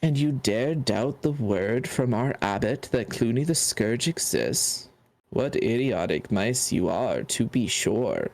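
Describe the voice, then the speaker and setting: A man's voice: mid-range voice, animated, creaky, darkish tone. Man doing an ancient fussy mouse scholar. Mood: stern. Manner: doddering, muttering hums, slow and fussy. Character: ancient wise male mouse scholar, fussy and absent-minded.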